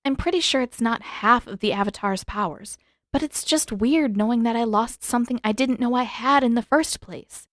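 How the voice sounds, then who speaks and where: A woman's voice: on the high side, normal speed, no echo, clean studio sound, lightly acted. A woman voicing an earnest, conflicted teenage girl in a fan fiction audiobook, speaking with some hesitation. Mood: hesitant, subdued.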